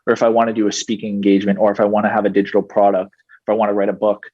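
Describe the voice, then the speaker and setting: A man in his twenties, a guest on a podcast, explaining his marketing work in a steady, measured way. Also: lowish voice. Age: 20s. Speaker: guest, digital marketing entrepreneur. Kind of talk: podcast interview answers. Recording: dull, muffled podcast audio.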